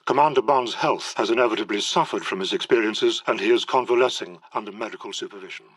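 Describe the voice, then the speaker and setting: An actor playing a formal British radio newsreader, reading an official bulletin in a measured, impersonal manner. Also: male voice, on the low side, fairly bright tone, dry recording. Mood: formal, neutral. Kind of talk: scripted news bulletin in a radio drama.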